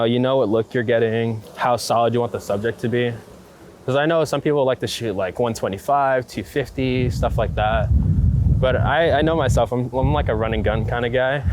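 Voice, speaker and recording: A young man in casual, slangy conversation, speaking in halting phrases with lots of filler words. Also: mid-range voice. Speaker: street photographer being interviewed. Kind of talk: casual chat on the street. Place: outdoors on busy city streets. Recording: loud city traffic noise outdoors. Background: loud urban ambience and passing vehicles.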